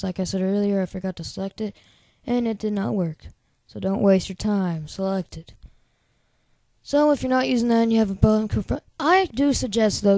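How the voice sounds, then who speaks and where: Chatty male tutorial host, squeaky high voice with a soft, mellow edge, dry close sound. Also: no room sound. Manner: rambling, chatty, lots of filler words. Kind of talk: casual screen-recording tutorial.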